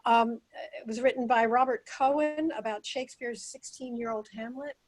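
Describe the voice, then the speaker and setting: A woman giving informal remarks on a video call, haltingly, often repeating and restarting as she makes her point. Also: on the high side. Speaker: participant, former teacher.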